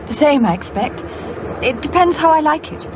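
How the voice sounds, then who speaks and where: An actress playing a poised, bitter woman in a radio play, polite and measured in manner. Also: sits high, lively intonation, noisy background. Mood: polite, reserved.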